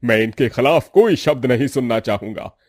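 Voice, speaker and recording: A man's voice: medium pitch, normal speed, darkish tone, dry recording, clean studio sound, natural voice. A man voicing a middle-aged father and family patriarch in a cartoon story, firm and emphatic. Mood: stern.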